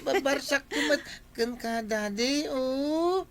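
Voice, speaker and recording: A woman voicing an excitable little boy in a radio drama, giggling and stammering as he plays. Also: sits high, slow, noisy background, exaggerated voice. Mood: playful. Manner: squealing, giggly, stammering child talk.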